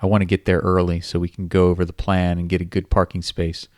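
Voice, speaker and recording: A man's voice: sits low, completely flat delivery, quick pace, dry recording, studio quality, lightly acted. A man voicing a nervous young man undercover, terse and muttering. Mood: muttered.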